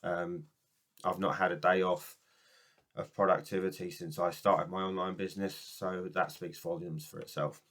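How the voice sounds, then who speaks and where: A man from the UK talks casually to camera about business, his voice very low and husky from a cold. He rambles a little, with fillers and restarts. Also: little pitch movement, moderate pace, fairly bright tone. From United Kingdom. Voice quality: husky from a sore throat. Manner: rambling, many ums and restarts. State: has a cold and sore throat. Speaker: network marketing business coach.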